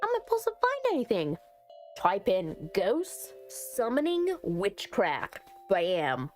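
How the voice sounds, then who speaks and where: A woman's voice: mid-range voice, huge pitch swings, slow pace, soft background music. A woman giving casual, chatty commentary as she plays through a visual novel, talking to herself with dramatic delivery. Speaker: game streamer. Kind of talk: casual visual novel playthrough commentary, reading text aloud.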